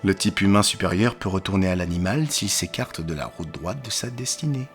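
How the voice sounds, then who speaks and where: Male actor as eloquent, provocative detective, declamatory audio-drama delivery, animated pitch, faint music underneath. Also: brisk. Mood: sardonic, philosophical. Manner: theatrical, declamatory. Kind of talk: French audio drama acting.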